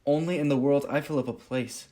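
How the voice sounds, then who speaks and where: An earnest young gallant from a Shakespearean radio play, played by a man. His voice sits at a middle pitch, and he speaks with formal respect and quiet pride. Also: fairly even intonation, brisk, natural voice. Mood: melancholy, resigned.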